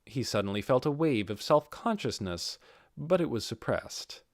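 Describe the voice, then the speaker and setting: A man narrates an audiobook with plenty of pitch movement. His voice is mid-range and recorded very dry, in a scripted storytelling style. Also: expressive, normal speed, no echo. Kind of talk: expressive scripted fiction narration.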